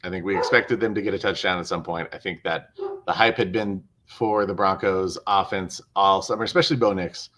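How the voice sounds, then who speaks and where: A man with a fairly low voice gives casual sports analysis as a co-host on a video call. He is measured and explanatory, restating phrases to press his point. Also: steady tone, fairly bright tone.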